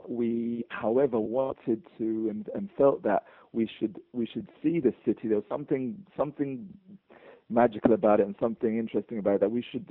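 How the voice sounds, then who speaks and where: A man from London with a London accent, a guest in a casual interview, talking in a halting way with many restarts and chuckles. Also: lowish voice, dry recording. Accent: London English. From England. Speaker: guest, bar owner. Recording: dry, tinny phone line.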